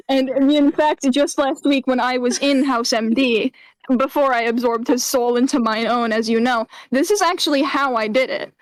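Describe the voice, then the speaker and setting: A woman's voice: high-pitched, measured pace, no echo, rough recording. A woman doing a jokey voice-over as a pretend emergency doctor, with mock-serious patter. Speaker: host voicing over a doctor clip. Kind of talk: comedic voice-over riff.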